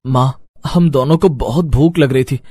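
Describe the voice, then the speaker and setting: A man's voice: mid-range voice, normal speed, darkish tone, slightly rough, no echo, close to an everyday voice. A man voicing an innocent, earnest young schoolboy talking to his mother in a story. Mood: plaintive.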